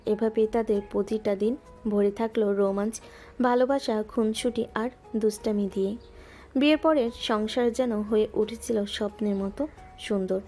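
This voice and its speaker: A woman gently narrating a scripted romantic audio story. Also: mid-range voice, fairly even intonation, moderate pace. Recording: music bed underneath.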